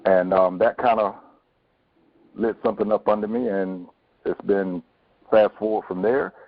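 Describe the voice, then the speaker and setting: A middle-aged man answering personally and sincerely as an interview guest, in a halting manner. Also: on the low side, fairly even intonation, no room sound. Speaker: stage actor and podcast guest. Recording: muffled phone-like call.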